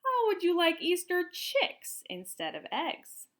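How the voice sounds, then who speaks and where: A woman voicing a kindly, excitable farm woman from a storybook, gushing and exclamatory. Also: extremely high pitch, slow, pitched above the performer's own voice, full character voice. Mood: eager.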